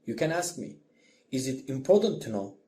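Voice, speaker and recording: A man's voice: mid-range voice, very animated, normal speed, warm tone. A man narrating a scripted lesson as a music instructor, explaining step by step in simple phrases.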